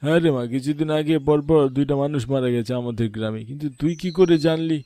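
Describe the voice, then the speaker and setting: Casting: male actor as a kindly older village uncle, mellow, slightly high voice, folksy cartoon elder. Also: a little fast, dry recording, natural voice. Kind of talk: cartoon voice acting.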